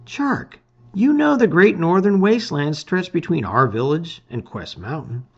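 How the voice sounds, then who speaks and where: A man's voice: fairly high pitch, animated, measured pace, gravelly, husky, muffled recording, pitched above the performer's own voice, exaggerated voice. A man voicing a cautious, thoughtful young green-skinned creature in a storybook, reasoning carefully. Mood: warning. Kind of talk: storybook read-aloud.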